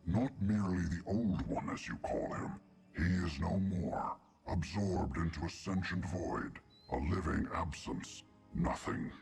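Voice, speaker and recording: An actor voicing an ancient, solemn, all-seeing being in a fantasy game, gravelly and portentous, with deliberate delivery. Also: male voice, sits low, animated, darkish tone, full character voice. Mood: solemn, foreboding. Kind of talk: scripted fantasy game dialogue. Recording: game audio over background music.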